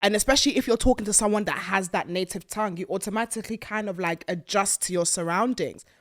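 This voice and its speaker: A woman's voice: medium pitch, fast. A woman from the UK hosting a casual interview, candid and teasing. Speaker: podcast host.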